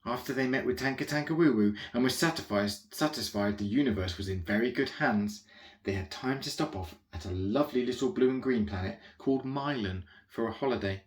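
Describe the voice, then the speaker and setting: A man's voice: medium pitch, brisk, lightly acted. Man reading as chatty young alien royal, roomy echo. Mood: breezy, enthusiastic. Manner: chatty, digressive, self-correcting asides. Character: rambling, easily distracted young alien royal, chirpily naive. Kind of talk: first-person comic children's audiobook narration.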